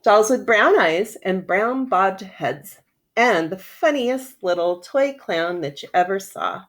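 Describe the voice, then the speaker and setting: A woman reading a children's storybook aloud as a teacher would, with expressive delivery. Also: medium pitch, takes it slowly. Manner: expressive, acts out sounds and characters.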